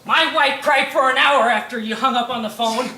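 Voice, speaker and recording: A woman's voice: high-pitched, moderate pace, pushed and bright, reverberant. A woman voicing an indignant middle-aged husband who scolds.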